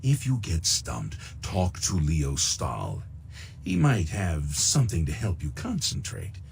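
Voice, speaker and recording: Game villain: middle-aged smooth-talking recruiter, very low creaky voice, pompous and menacing, lingering over words. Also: male voice, wildly swooping pitch, exaggerated voice. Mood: smug, cold. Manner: pompous, unctuous, deliberate, florid diction. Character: suave, sinister middle-aged male recruiter for shadowy interests. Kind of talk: theatrical video game dialogue.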